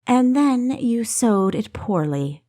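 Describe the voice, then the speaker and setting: A woman voicing a stern female doctor in a fantasy audiobook, brisk and blunt with a dry wit. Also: fairly high pitch, lively intonation, dark tone, voice pushed up. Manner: economical, blunt.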